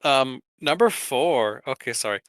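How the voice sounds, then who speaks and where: A man's voice: mid-range voice, expressive, a little slow, a bit bright, no echo. A man co-hosting a podcast, presenting a countdown list in casual chat with an informal, hesitant delivery. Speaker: podcast co-host.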